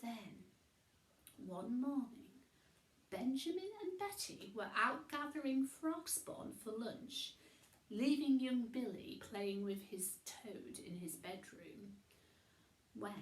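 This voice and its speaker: Woman doing a bedtime story for kids, really expressive, takes her time, bit echoey room. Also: a little high. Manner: theatrical, dramatic pauses. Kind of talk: animated children's bedtime story reading.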